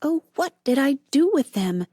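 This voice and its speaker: A woman's voice: fairly high pitch, a little fast, darkish tone, dry recording, studio quality, voice pushed up, natural voice. A woman voicing a plucky girl sleuth. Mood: frantic. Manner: breathless, frantic. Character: young girl detective, brave and anxious.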